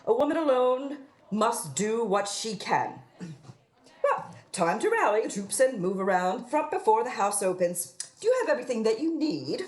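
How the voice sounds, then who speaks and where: A woman's voice: fairly high pitch, very animated, moderate pace. A woman playing a scheming, prim widow in a radio play, with a florid, sanctimonious and affected delivery. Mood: haughty, prim. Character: scheming widow posing as prim, melodramatic moral crusader. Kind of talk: theatrical radio play acting.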